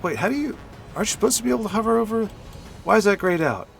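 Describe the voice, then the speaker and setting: A man's voice: high voice, expressive, very fast, creaky, fairly bright tone, slight background noise. A man giving casual play-by-play commentary on card game matches, rambling into chatty asides and correcting himself as he goes.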